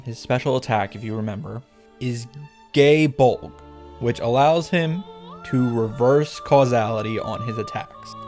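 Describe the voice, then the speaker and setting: A man's voice: mid-range voice, darkish tone. A man giving casual, scripted commentary as a video creator, wry and joking with sarcastic asides and some swearing. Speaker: video creator recapping and reacting to a game. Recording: music behind the voice. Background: background music.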